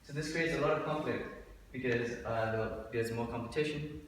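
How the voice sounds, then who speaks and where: A man's voice: mid-range voice, moderate pace, slight background noise. A young man giving a class presentation in a dim lecture room, talking with hesitant fillers and restarts. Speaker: student presenter. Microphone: far room mic. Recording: echoey room from far off.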